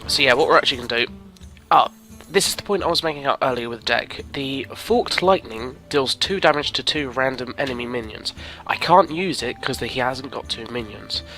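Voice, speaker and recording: A man's voice: mid-range voice, lively intonation, fairly quick, hardly any pauses, pushed and bright, faint music behind. A man with a cold, sniffling as he chats casually through his card game, thinking aloud and rambling. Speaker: gaming commentator. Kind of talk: casual let's-play commentary.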